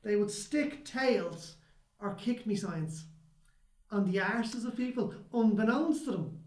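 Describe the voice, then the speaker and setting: A man's voice: high-pitched, takes it slowly, reverberant, poor audio. An Irish man co-hosting a podcast, telling stories in a chatty way and often checking in with "right?". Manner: chatty storyteller, tags lines with "right?". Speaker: podcast co-host. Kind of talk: casual chat.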